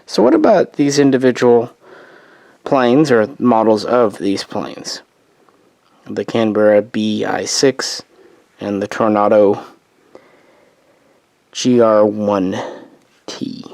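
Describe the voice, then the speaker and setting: A man with a somewhat low voice that is going a bit rough as he loses it. He narrates history in a casual, meandering way, correcting himself and tossing in offhand asides. The sound is dry, with no room echo. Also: animated, slow pace, long pauses, a little mellow. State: losing his voice. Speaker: host.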